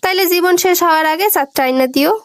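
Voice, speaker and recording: A woman's voice: very high-pitched, fast talker, no echo. A woman with a Bangladeshi accent playing a stubborn, sulky, nagging young village wife in a comic cartoon. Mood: insistent, demanding. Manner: nagging, insistent.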